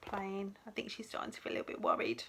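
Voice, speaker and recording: A woman teacher reading a storybook aloud to young children in an expressive, dramatic way, with asides to the listeners. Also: mid-range voice, fast talker, vocal fry, in a whisper.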